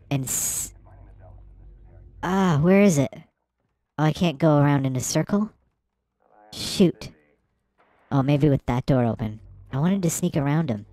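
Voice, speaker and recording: A woman hosting a let's play, giving excitable, playful game commentary with gasps and shrieks at surprises. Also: low-pitched, dry recording.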